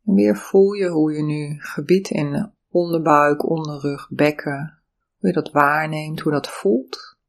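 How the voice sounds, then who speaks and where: A meditation teacher giving calm, soothing, step-by-step guidance through breathing and body awareness. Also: medium pitch, unhurried, gravelly. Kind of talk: guided meditation instruction.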